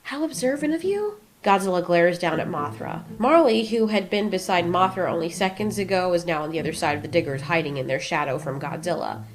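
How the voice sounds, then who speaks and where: A woman's voice: lowish voice, brisk, hardly any pauses. A woman giving scripted narration for an audio drama.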